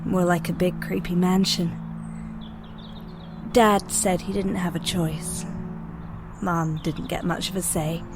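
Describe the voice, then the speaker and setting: A woman's voice: fairly low pitch, level tone, slow, pauses often, over music. A young woman playing a nervous, hesitant teenage newcomer in an audio drama. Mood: wistful, uneasy. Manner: hesitant, reflective. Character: nervous teenage girl newly arrived at a mutant school.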